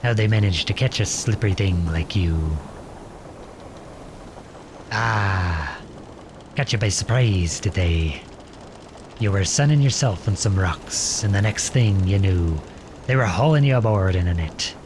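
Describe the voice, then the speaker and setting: A man playing a gruff but caring pirate captain in an audio roleplay, commanding and full of salty slang. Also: low-pitched, lively intonation, a little slow, pauses often, noisy background. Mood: awed, musing. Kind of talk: ASMR roleplay audio drama.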